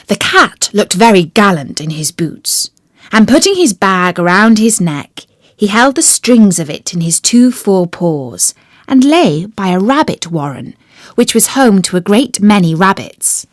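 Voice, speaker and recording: Female storyteller, scripted children's fairy tale, lively intonation, unhurried, dry close sound. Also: medium pitch, no room sound. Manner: expressive, deliberate phrasing.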